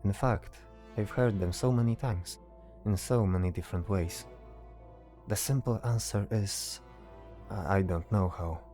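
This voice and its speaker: A man voicing a stoic, cryptic mage in a fantasy audio drama, calm and assured, with formal, deliberate phrasing. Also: lowish voice, soft background music, close to an everyday voice. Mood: calm, pensive.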